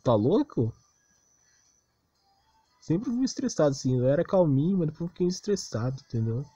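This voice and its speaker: Exasperated Brazilian man, higher-pitched, muffled mic. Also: sing-song, normal speed, warm tone, husky, no room sound, soft background music. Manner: defensive, exasperated complaining. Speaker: gaming video creator. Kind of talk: casual unscripted rant over game footage.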